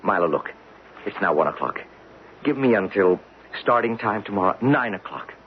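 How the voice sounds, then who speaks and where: An actor playing a keen, earnest geologist in a 1970s radio drama, with an animated manner. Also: male voice, fairly low pitch, normal speed, natural voice. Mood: eager, urgent. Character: keen, earnest male geologist on a drilling crew. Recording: muffled, noisy old radio broadcast.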